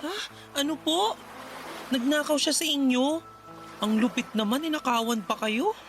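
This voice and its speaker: Camp, exclamatory young radio-drama offspring. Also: female voice, high-pitched, unhurried, faint music behind. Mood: shocked. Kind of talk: Filipino radio drama acting.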